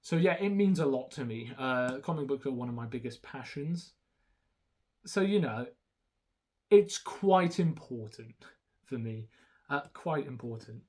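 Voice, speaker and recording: A man's voice: medium pitch, expressive, measured pace, pauses often, darkish tone. A young man whose first language is Romanian, talking casually and hesitantly through a haul with many ums and restarts. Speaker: YouTuber showing a haul.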